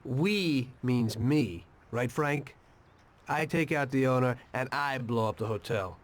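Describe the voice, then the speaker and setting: A man's voice: medium pitch, slow pace, close to an everyday voice. A man playing a reluctant young mobster in a video game, loyal but troubled, with an understated, wry, hesitant delivery. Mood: wry, wary. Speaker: mobster protagonist, former taxi driver.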